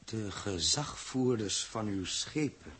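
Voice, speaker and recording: Earnest space captain in old radio sci-fi, hesitant, really husky, lively up and down. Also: male voice, fairly low pitch, takes it slowly, heavily acted. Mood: stunned, humble. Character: earnest human spaceship captain, respectful and overwhelmed. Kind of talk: 1960s Dutch science-fiction radio drama acting.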